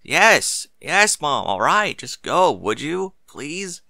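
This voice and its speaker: A man acts out a surly teenage son in a story reading. He sounds impatient and grudging, pitched somewhat high, with a bright edge. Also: big pitch swings, takes it slowly, vocal fry, no echo, studio quality, full character voice. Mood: irritated, grudging. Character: surly fifteen-year-old son, irritated with his mother. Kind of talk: audiobook character voice.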